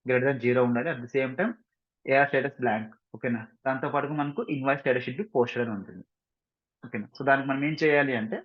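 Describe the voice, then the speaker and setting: A man with an Indian accent giving an informal software tutorial, repeating himself, mixing in English terms and often checking that listeners follow. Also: mid-range voice, dull audio. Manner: repetitive, frequent check-in questions, mixes English terms into speech. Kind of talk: screen-share tutorial, informal explanation.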